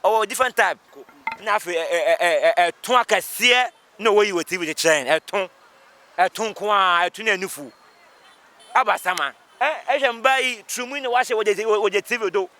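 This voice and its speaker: A man's voice: high-pitched, moderate pace, sharp tone. A man with a Ghanaian accent giving his views in a street interview, repeating words for emphasis as he mixes languages. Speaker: member of the public interviewed. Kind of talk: animated street interview in code-switched speech.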